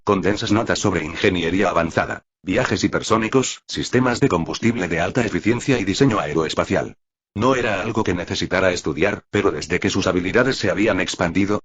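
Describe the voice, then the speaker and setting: Low, husky male story narrator. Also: lo-fi sound. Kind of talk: scripted audiobook narration of fan fiction.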